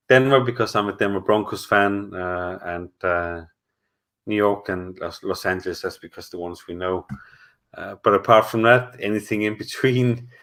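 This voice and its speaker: A man's voice: fairly low pitch, fairly bright tone. A middle-aged man chatting casually at home on a live stream, answering viewers and talking in a hesitant, rambling way with lots of fillers and restarts. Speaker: channel host answering live chat.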